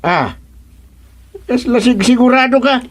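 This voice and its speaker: A doubtful, fussy monarch in a comic radio play. He has a high voice and a Filipino accent, blustering and hesitating as he exclaims. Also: expressive, a little slow. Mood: wary, blustering. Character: fussy, doubtful comic king with threatening temper. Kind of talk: Filipino radio drama comedy acting.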